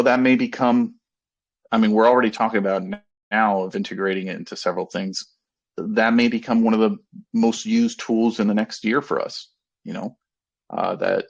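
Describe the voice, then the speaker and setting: A man in his thirties with a low voice and a heavy creak in it. He speaks as an executive updating investors informally, in a halting, rambling way, often circling back to rephrase. The sound is dull and lo-fi, as on a conference call. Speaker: company executive. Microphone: conference call line.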